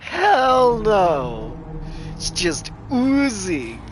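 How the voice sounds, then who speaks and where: A man streaming a game, reacting casually in a blunt, excitable way. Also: high-pitched, extremely slow, a little hiss or hum.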